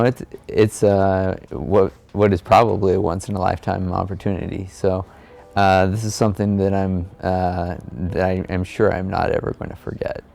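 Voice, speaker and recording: A man with a low voice and a gravelly creak talks in an informal, reflective way. His delivery is earnest and halting, with very little movement in pitch. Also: darkish tone. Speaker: amateur cyclist and blogger.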